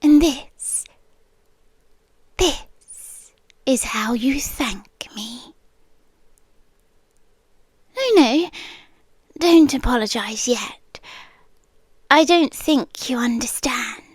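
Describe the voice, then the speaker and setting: A woman's voice: sits high, expressive, husky, no echo, clean studio sound, full character voice. A British woman playing a centuries-old possessive siren girlfriend in an ASMR roleplay, tender yet menacing, often sinking into whispers. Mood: wounded, controlling. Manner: slow, hushed, dropping often into whispers. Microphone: close, dry microphone.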